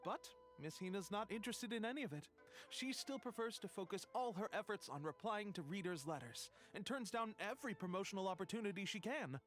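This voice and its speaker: Casting: obliging game office worker, high for a man, animated, polite, slightly rambling. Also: brisk, soft background music. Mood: deferential, chatty. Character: deferential, chatty, friendly male publishing house employee. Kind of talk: video game voice acting.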